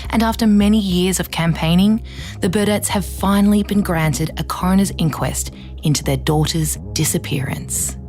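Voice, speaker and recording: Low-voiced woman true crime host. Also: very animated, darkish tone, faint music behind. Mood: earnest. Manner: measured, earnest, scripted. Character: earnest, composed female true crime podcast host. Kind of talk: scripted podcast narration.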